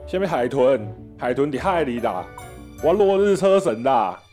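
A man's voice: mid-range voice, very animated, normal speed, a little mellow, faint music behind, close to an everyday voice. A man with a Taiwanese accent playing a brusque, impatient, grumbling guest in a comedic audio drama.

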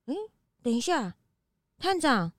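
Woman as an eager, squeaky little detective's assistant. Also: very animated, slow, no room sound, clean studio sound. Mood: puzzled, chatty. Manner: childlike, curious, exclamatory questioning. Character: naive, eager young male animal detective's assistant. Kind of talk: children's detective audio drama.

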